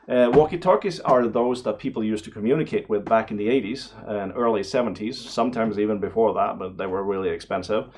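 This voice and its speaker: A middle-aged man talking casually and excitedly as he hosts an unboxing video, rambling along with frequent exclamations. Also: medium pitch, a little hiss or hum. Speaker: video host.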